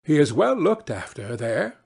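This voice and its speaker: A man's voice: on the high side, sing-song, a little slow, gravelly, a little mellow, breathy edge, heavily acted. A man voicing a cold-hearted, scheming mother in a fairy tale, evasive and placating.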